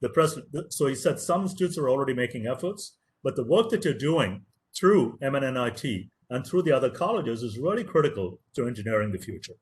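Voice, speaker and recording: A man whose first language is Tamil delivers a motivational lecture over a video call. His voice sits at an ordinary middle pitch, and he talks earnestly. He stresses key words and repeats phrases to drive his points home. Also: animated, fast, hardly any pauses, a little hiss or hum. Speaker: professor giving a webinar lecture.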